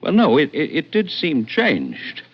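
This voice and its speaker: Anxious thin lawyer, highish voice, animated intonation, formal, hesitant, vintage radio drama. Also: male voice, measured pace, slightly rough, heavily acted. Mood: anxious, insistent. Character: tall, thin, nervous, earnest male attorney client. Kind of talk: 1950s radio drama acting. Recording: muffled vintage radio recording.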